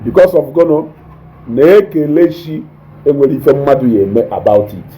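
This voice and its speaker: Loud Nigerian man chatting live. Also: medium pitch, normal speed, poor audio. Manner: animated, mixing English with Igbo, eating while talking. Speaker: online show host reading viewer comments. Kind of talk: casual live-stream chat.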